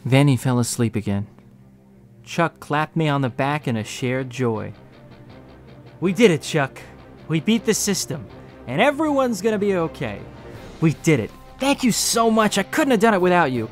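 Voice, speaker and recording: Earnest young hero played by a man, expressive, over music. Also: a little high, moderate pace. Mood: elated. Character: earnest, desperate young male slum hero recounting his past. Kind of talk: first-person audio drama narration with acted dialogue.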